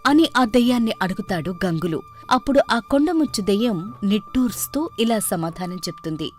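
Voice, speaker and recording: A woman's voice: on the high side, animated, brisk, soft background music. A woman storyteller reading scripted narration for a children's moral story.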